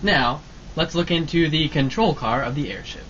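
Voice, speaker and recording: Guy doing a cheerful radio drama announcer voice, kinda high, muffled, noisy background. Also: moderate pace, a bit bright, lightly acted. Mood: cheerful announcing. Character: breezy announcer and deferential airship crewman. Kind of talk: old-time radio drama announcing and acting. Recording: muffled mic, clear background noise.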